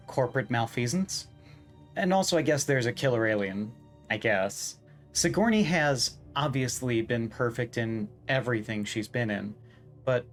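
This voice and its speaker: A man narrating a scripted video essay in an emphatic, wry way, leaning on deliberate repetition. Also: mid-range voice.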